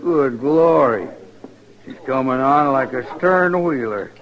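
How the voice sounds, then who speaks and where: An easily alarmed frontier townsman, with a slow, folksy rural American drawl. The recording is muffled old radio drama. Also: medium pitch. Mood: dismayed.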